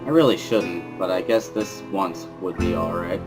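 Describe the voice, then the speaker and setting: A man's voice: low voice, normal speed. A man reading dramatic first-person narration from a visual novel in a wry, dry way. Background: music.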